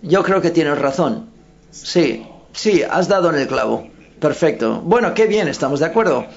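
A man's voice: fairly high pitch, expressive, normal speed, vocal fry. A man interpreting live at a press conference, hesitant, often breaking off to correct himself. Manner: hesitant, with frequent self-corrections. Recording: dull lo-fi sound.

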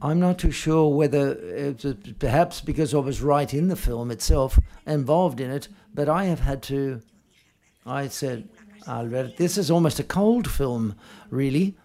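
Gravelly, mellow male interpreter. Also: mid-range voice. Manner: halting, piecemeal phrasing with self-corrections. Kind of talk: live spoken interpreting at a film press conference.

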